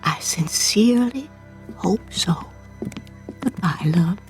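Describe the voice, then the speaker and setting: An older woman playing a kindly, wise old aunt figure in a classic television drama, with gentle, deliberate, formal phrasing. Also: mid-range voice, lively intonation, over loud music. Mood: wistful.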